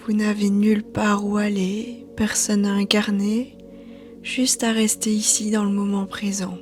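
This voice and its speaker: A woman yoga teacher guiding a meditation in a soothing, hushed, breathy voice. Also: mid-range voice, completely flat delivery, a little slow. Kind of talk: guided meditation narration. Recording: soft background music. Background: quiet music.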